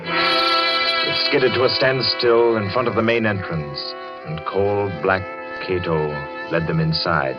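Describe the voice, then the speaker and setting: An actor narrating a radio horror tale in a dramatic, ominous manner, on a muffled old recording. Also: male voice, on the low side, sing-song, takes it slowly. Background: music.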